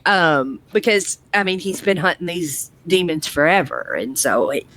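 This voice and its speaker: A woman's voice: fairly low pitch, animated, normal speed, a bit bright. A woman co-hosting a podcast, casually recapping and chatting about a show. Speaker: podcast co-host. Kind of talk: casual chat, retelling episode.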